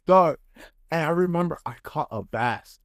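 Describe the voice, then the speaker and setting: A man hosting a casual podcast, telling stories in an animated, slangy way. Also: on the high side, a little slow, no room sound, studio quality. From Dominican background. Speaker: podcast host. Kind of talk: casual chat, storytelling.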